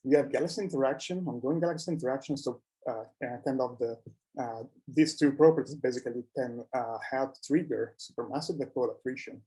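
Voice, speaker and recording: A man presenting research in a scientific conference talk, with a halting delivery that often repeats words. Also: mid-range voice, dark tone, poor audio.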